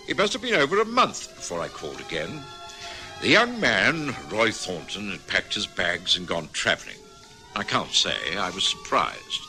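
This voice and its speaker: Calm British doctor-narrator, measured, animated pitch, radio play. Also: male voice, exaggerated voice, rough recording. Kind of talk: 1950s radio drama acting.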